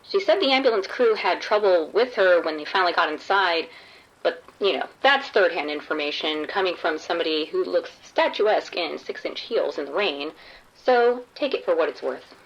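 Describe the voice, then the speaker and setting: A woman's voice: on the low side, lively intonation, moderate pace, highs cut off. A woman playing a wry undercover policewoman in a radio drama, talking casually and matter-of-factly. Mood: wry, gossipy. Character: wry undercover policewoman posing as a street sex worker.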